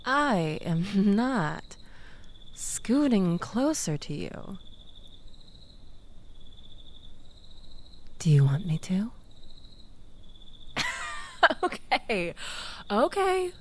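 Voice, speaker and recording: A woman's voice: mid-range voice, big pitch swings, very slow, long pauses, slight background noise. A woman playing a manipulative, flirtatious ex-lover in an intimate audio roleplay, languid and teasing, coaxing the listener with theatrical pleading.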